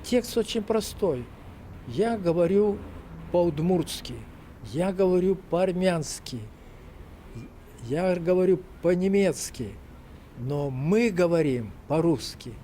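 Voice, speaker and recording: A man giving a slow, thoughtful interview comment. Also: sits high, animated, mellow. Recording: faint background noise.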